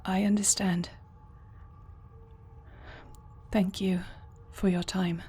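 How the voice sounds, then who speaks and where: A woman playing a noble warrior princess in a fantasy audio roleplay, speaking solemnly, formally and with deliberate care. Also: mid-range voice, level tone, warm tone, breathy edge. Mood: solemn, hushed. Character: noble warrior princess, grave, torn, devoted wife.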